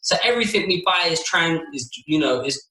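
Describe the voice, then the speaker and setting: Bright-voiced man in an echoey room. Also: on the high side, expressive, a little fast. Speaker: podcast co-host. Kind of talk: casual argumentative chat. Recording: roomy, echoey room sound.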